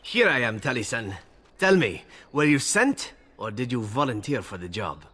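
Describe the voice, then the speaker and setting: Husky, suave assassin. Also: male voice, medium pitch, expressive, normal speed, bright, forceful tone. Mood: defiant. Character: suave male rogue assassin, cool, wry, courteous. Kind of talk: video game voice acting.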